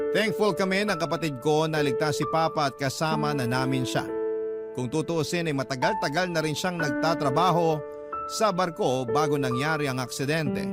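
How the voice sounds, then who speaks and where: A man with a Filipino accent giving scripted, first-person narration of a letter for a radio drama. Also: fairly high pitch. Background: prominent music.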